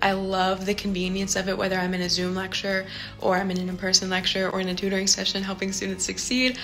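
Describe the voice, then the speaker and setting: A young college woman from West Virginia talks in a medium voice with a flat, even intonation. Her delivery is steady, like a prepared testimonial. Faint music sits under her voice. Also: medium pitch, quick pace. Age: young adult. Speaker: student. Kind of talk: scripted testimonial.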